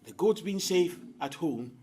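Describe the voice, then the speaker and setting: Fairly high-voiced male folktale storyteller. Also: expressive, a little mellow, slight background noise. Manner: theatrical, deliberate phrasing with dramatic pauses. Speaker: storyteller, host. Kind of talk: oral folktale storytelling to an audience.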